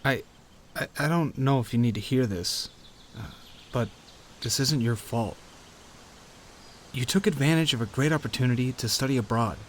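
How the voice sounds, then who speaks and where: A man playing a teasing, warm-hearted young friend in an audio roleplay, conversational and given to playful grumbling. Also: mid-range voice, normal speed, long pauses, a little hiss or hum, natural voice. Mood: gentle, reassuring. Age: young adult. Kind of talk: audio roleplay acting, addressing the listener.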